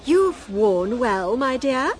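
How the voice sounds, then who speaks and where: A woman's voice: on the high side, big pitch swings, takes it slowly, a little hiss or hum. An actress playing a brash, gossipy, much-married older Englishwoman in a radio drama, gushing and teasing with theatrical gasps and giggles, shameless and merry. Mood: breezy, teasing.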